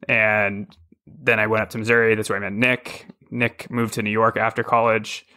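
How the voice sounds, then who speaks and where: Bright-voiced wry male podcast guest. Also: on the low side, little pitch movement, moderate pace. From Texas. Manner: wry, joking asides. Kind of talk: casual interview.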